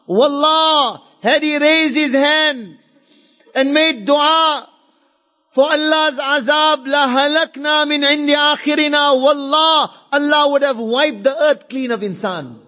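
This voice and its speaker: Male preacher, very high bright voice, fervent and emphatic Islamic sermon delivery with insistent repetition, long hall reverb. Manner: fervent, emphatic, repeats phrases for effect; mixes Arabic and Urdu phrases into English.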